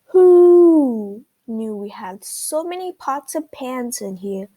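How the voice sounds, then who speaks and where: A woman's voice: high-pitched, lively intonation, slow pace, dark tone, full character voice. A woman voicing a fussy, emphatic owl in a children's storybook, often questioning. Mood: emphatic, surprised. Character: fussy, emphatic owl witness at a cake contest.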